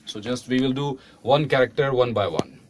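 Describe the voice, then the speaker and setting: A man with an Indian accent coaching in a studio, giving casual, halting, repetitive direction. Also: mid-range voice, slight background noise. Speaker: voice-over coach. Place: recording studio.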